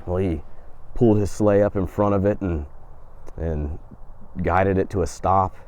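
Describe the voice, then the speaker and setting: A man hosting and telling a folk tale in an unhurried, dramatic storytelling manner. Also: low-pitched, darkish tone. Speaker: host and storyteller.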